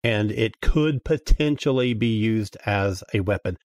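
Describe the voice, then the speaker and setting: A man's voice: fairly low pitch, unhurried, dry recording. A man talking casually as a podcast panelist, with a blunt, informal way of giving his views.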